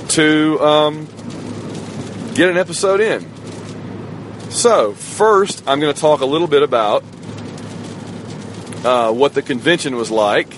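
Man, slightly hoarse, middle pitch, casual rambling monologue, podcast host, phone recording, clear road and rain noise in a car. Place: inside a moving car. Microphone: smartphone on the dashboard.

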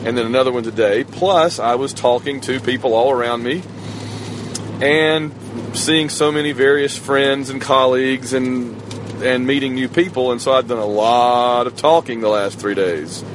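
A hoarse podcaster rambling casually to his dashboard phone on a long rainy drive, road noise humming around him, a man alone at the wheel. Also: medium pitch, steady tone, normal speed. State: slightly hoarse. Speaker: podcast host. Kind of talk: casual solo podcast monologue. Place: inside a moving car. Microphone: smartphone on the dashboard. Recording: road and rain noise inside moving car. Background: road noise and rain.

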